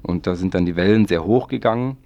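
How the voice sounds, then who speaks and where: A man hosting a radio magazine, presenting local news in a halting, semi-improvised way with long winding sentences, close to a studio microphone. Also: sits low, mellow, no echo, highs cut off.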